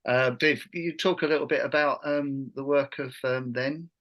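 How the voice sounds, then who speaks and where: A man's voice: medium pitch, steady tone, moderate pace, a bit bright. Middle-aged male workshop host, informal and hesitant in phrasing, restrained intonation. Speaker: workshop host, education trainer. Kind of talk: informal online workshop presentation. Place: video call.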